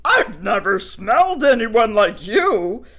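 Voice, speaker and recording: A woman voicing a loud, jolly male farm dog who barks as he laughs. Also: on the high side, sing-song, takes it slowly, pitched above the performer's own voice, heavily acted.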